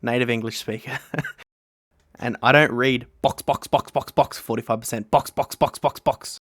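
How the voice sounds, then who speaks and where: A man guesting on a casual gaming podcast, easygoing and quick to laugh. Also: medium pitch, steady tone, fairly bright tone, dry recording, clean studio sound. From Australia.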